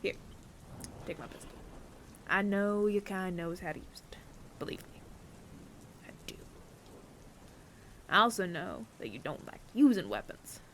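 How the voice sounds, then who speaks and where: A man playing a weary, remorseful bounty hunter in an audio roleplay, speaking in hushed, breathy, deliberate phrases with heavy pauses. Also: high voice, very animated, exaggerated voice. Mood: resolute, gentle. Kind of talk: dramatic ASMR audio roleplay monologue. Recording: clear rain noise behind the voice. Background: rain.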